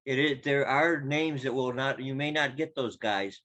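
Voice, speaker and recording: A man's voice: medium pitch, normal speed, a bit bright, dull audio. A man, a club member, explaining something casually from his own experience over a video call.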